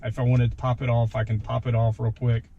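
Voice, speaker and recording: A man's voice: mid-range voice, completely flat delivery, brisk, muffled recording. A man giving a casual, informal, self-deprecating hands-on video walkthrough from inside a truck. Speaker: vlogger demonstrating his gear. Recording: clear background noise in a vehicle.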